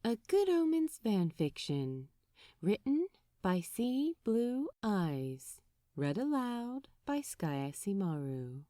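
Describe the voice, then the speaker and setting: A woman reading fiction aloud as an expressive narrator. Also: mid-range voice, takes it slowly, no room sound, studio quality.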